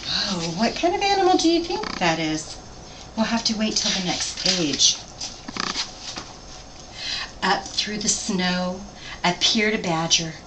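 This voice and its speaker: A woman reading a children's picture book aloud expressively, pausing to put simple questions to her listeners. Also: mid-range voice, lively intonation. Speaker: storybook reader. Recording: distant mic, faint background noise.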